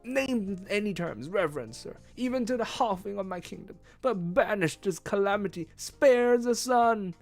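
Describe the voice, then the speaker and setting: A man's voice: high voice, lively intonation, normal speed, hardly any pauses, voice pushed up. A man voicing a desperate, courtly storybook king who implores in grand archaic speech. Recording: music behind.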